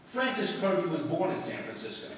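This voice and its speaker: Man playing a cocky higher-voiced newshound, echoey hall. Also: sing-song, a little fast, a bit bright. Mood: cocky, needling. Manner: cocky, wisecracking. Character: brash, persistent male newspaper reporter. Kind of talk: 1940s radio acting. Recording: distant echoey hall, faint noise.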